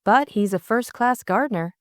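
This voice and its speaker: A woman's voice: a little high, animated, moderate pace, no room sound, clean studio sound, pitched above the performer's own voice, lightly acted. A woman voicing a spirited upper-class young woman in an audiobook drama, direct and no-nonsense. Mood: apologetic, frank.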